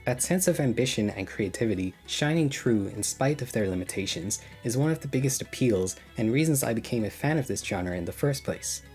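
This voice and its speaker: A man's voice: mid-range voice, expressive, brisk, few pauses, darkish tone, faint music behind. A man narrating a scripted video essay as a commentator, critical and sarcastic in tone. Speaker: YouTube commentator.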